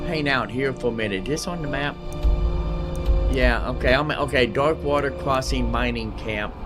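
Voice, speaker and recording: A man's voice: mid-range voice, normal speed. Guy live streaming a game, kinda rambly, talks to himself as he plays, music going behind him. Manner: rambling, thinking aloud. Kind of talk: casual live gameplay commentary.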